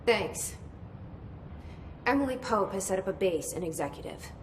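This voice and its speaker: A woman's voice: mid-range voice, lively intonation, normal speed, noisy background, natural voice. A woman playing a resolute young director. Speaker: director of a bureau.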